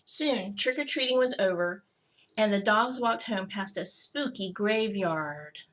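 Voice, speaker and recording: A woman's voice: mid-range voice, lively intonation, a little slow, a bit bright, rough recording. A woman reading a children's picture book aloud expressively, stretching out key words for emphasis. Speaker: school technology coordinator reading to children.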